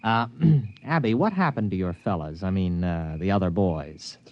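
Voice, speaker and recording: Pushy skeptical researcher type, american, very animated, stammers, old radio drama sound kinda muffled. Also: male voice, low-pitched, moderate pace. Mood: awkward, hushed. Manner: stammering, insistent, swings from hushed to exclaiming. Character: excitable, scheming educated man, pushy and skeptical researcher. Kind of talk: 1950s radio drama acting. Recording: dry, dull vintage radio recording.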